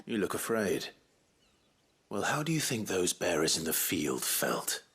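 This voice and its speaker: A man playing a brooding, defiant British warrior in a video game, grave, measured and quietly menacing. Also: sits low, big pitch swings, husky, exaggerated voice.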